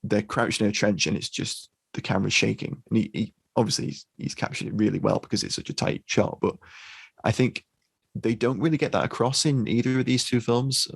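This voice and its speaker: Gravelly low-voiced podcast host. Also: male voice, steady tone, brisk, no room sound. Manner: conversational, hesitant, uses fillers like "you know". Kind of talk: casual podcast discussion.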